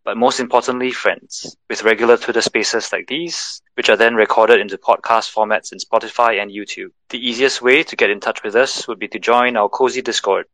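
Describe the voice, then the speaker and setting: A man from Singapore, co-hosting a casual podcast and reading a scripted intro, measured and polite. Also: medium pitch, steady tone, fairly bright tone, no echo, poor audio. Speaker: podcast co-host. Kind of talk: scripted show intro, then casual chat.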